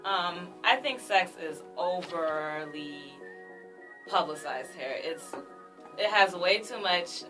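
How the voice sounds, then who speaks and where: A woman's voice: on the low side, animated, takes it slowly. Chatty young American woman on radio. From United States. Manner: candid and chatty, with frequent fillers. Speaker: radio show co-host. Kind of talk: casual talk radio discussion. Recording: music clearly behind the voice.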